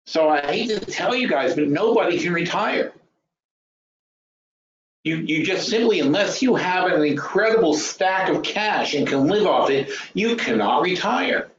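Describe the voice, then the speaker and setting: Roomy-sounding, higher-pitched man in his fifties, lecturing. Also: animated, a little slow, fairly bright tone, slight background noise. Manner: emphatic, blunt, repeats phrases for emphasis; thinks aloud, asks rhetorical questions. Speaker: webinar host and trading educator. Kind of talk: informal explanatory financial lecture. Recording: roomy, dull lo-fi webinar audio.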